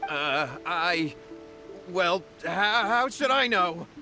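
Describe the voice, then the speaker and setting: A man's voice: high voice, lively intonation, very slow, bright, forceful tone, soft background music, exaggerated voice. A man voicing a nervous young man in a video game, stammering and flustered. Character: nervous young man, scheming and easily cowed.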